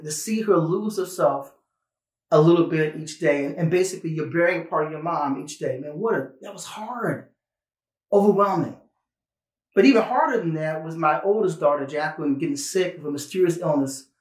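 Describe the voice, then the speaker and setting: Male pastor, 50s. Conversational preaching, earnest emphasis, repeated phrases, roomy recording. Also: high voice. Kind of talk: sermon with personal testimony, conversational. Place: small indoor studio, plain backdrop.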